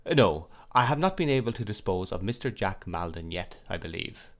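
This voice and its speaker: A man's voice: sits low, very animated, fairly quick. A man voicing a shrewd, grave middle-aged lawyer who questions in a probing, emphatic way.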